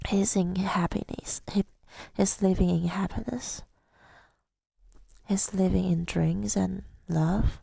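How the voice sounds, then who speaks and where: A woman telling a personal story in ASMR style, softly and breathily, often near a whisper, with a halting, reflective delivery. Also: on the low side, creaky, dry recording. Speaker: ASMR creator.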